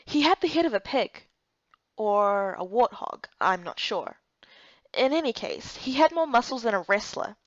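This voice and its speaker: A woman's voice: a little high, animated, moderate pace, no room sound, muffled recording. A woman reading scripted first-person story narration for a game playthrough.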